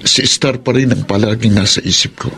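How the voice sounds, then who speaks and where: A man's voice: mid-range voice, fairly even intonation, fairly quick, gravelly, very noisy, natural voice. A man playing a hurt, brooding jilted lover in a Filipino radio romance drama, earnest, with a Filipino accent. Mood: conflicted. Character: D J, wounded, reproachful jilted lover. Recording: loud music bed over the voice.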